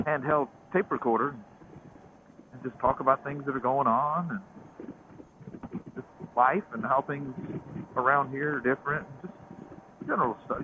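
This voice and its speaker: Southern guy vlogging from his bike, easygoing storyteller, thin phone-like sound with light wind hiss. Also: medium pitch. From American South. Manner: rambling, storytelling. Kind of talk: casual chat while riding. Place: outdoors on a motorcycle. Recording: tinny intercom with faint road noise.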